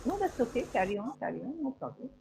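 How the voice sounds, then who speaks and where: A woman's voice: a little high, big pitch swings, fast talker, vocal fry, dark tone, slight background noise. A woman teacher facilitating an online class and inviting students to present.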